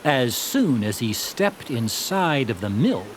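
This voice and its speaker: A man's voice: medium pitch, sing-song, takes it slowly, a little hiss or hum. A man reading a children's story aloud as scripted narration. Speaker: story reader.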